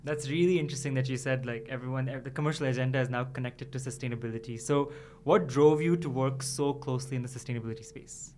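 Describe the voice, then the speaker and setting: A man's voice: mid-range voice, fairly even intonation, fast, few pauses, darkish tone, slight background noise. A man hosting a casual interview in an informal, encouraging way. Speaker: interviewer, host.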